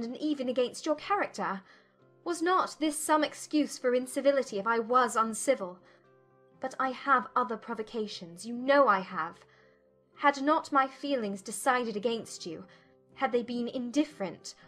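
An actress playing a spirited, proud young gentlewoman with formal, measured diction in an audiobook drama. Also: high voice. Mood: indignant.

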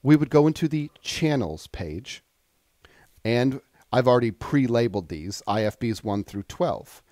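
A man demonstrating software in a casual, conversational tutorial style, explaining things informally step by step. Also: mid-range voice, animated, measured pace, no echo, clean studio sound.

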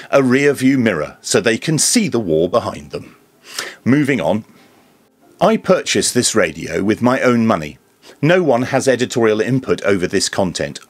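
A man presenting a scripted radio review in a deadpan, tongue-in-cheek manner. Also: lowish voice, husky, dry recording. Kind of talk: scripted product review narration with dry jokes.

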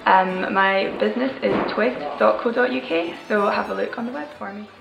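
A woman's voice: mid-range voice, steady tone, moderate pace, creaky, over loud music. A woman from Edinburgh giving a friendly, easygoing introduction to camera. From Edinburgh, Scotland. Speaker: furniture student. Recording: echoey room.

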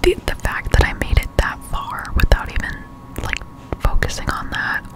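A young woman speaks entirely in a soft, breathy whisper. She is doing ASMR gameplay commentary right up at the mic, explaining things casually and haltingly with plenty of slang. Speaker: gaming ASMR streamer. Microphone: close-up desktop condenser microphone.

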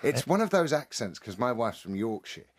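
Male host, middle pitch, teasing banter, casual sports chat. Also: big pitch swings, normal speed. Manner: teasing, wisecracking. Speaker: podcast host.

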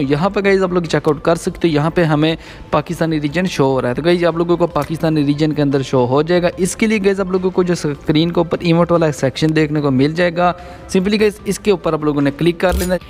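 A man's voice: a little high, a little mellow. A man hosting a gaming channel, explaining things step by step in a tutorial voice-over and addressing viewers as guys.

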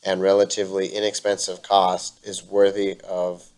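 Low, flat-voiced man narrating fishing. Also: moderate pace, clean tone. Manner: calm, explanatory, frequent ums. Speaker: angler and channel host. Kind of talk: voice-over narration of fishing video.

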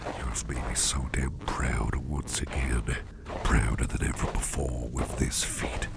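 A man playing a caring, slyly warm boss in an ASMR roleplay, talking in a gravelly half-whisper with a rambling, folksy, reassuring manner. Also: extremely low pitch, little pitch movement, a little slow, heavy background noise, full character voice. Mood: tender, reassuring. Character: caring, gruff-voiced boss, a man with sly, soothing warmth. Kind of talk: soft ASMR roleplay, addressing the listener.

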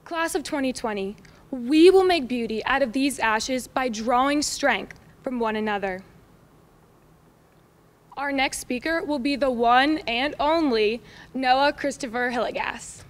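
High-voiced American teenage girl in a reverberant hall. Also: a little slow. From United States. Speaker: graduating student speaker. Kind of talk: prepared commencement speech. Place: school auditorium stage. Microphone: podium microphone.

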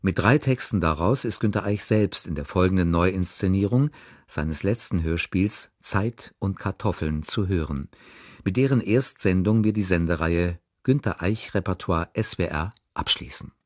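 A man's voice: low-pitched, moderate pace, no room sound, clean studio sound. A man presenting a radio broadcast, reading a scripted introduction in a formal, informative way.

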